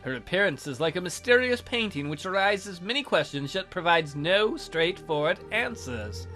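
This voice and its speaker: A man's voice: high-pitched, sing-song, normal speed, bright, forceful tone, soft background music. A man reading a visual novel aloud theatrically as a let's play host, with casual, jokey asides.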